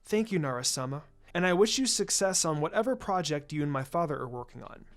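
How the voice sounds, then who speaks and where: A woman's voice: sits low, lively intonation, a little fast, soft background music, voice pushed down. A woman voicing a polite, earnest young ninja girl with a formal, careful manner in a story. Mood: courteous.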